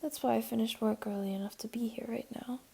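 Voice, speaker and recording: Woman acting as flirty jaguar beastling, higher feminine voice, breathy half-whisper, teasing, comforting, intimate ASMR roleplay. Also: steady tone, quick pace, mellow. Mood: playful, warm.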